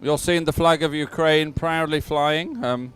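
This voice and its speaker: Guy doing live boat race commentary, smooth clear voice, pauses then gets a bit sentimental. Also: fairly high pitch, a bit bright, soft background music. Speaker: sports commentator, event presenter.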